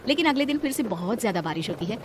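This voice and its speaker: Woman narrator, storybook cartoon, calm and steady, high voice. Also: rapid-fire, very noisy.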